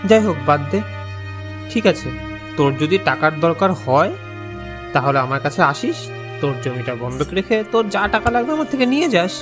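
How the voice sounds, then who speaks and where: High-pitched, condescending village moneylender played by a man. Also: very animated, moderate pace. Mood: dismissive, coaxing. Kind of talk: cartoon character dialogue. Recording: dull lo-fi voice over faint music.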